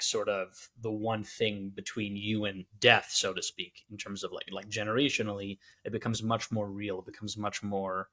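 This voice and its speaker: A man's voice: on the low side, pushed and bright. A young man co-hosting a podcast, speaking reflectively and hesitantly in casual chat. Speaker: podcast co-host.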